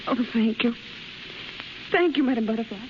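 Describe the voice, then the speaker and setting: Woman acting an earnest, high-voiced pleading rival. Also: moderate pace, lightly acted. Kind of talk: 1930s radio drama acting. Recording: muffled, faintly hissy 1930s radio recording.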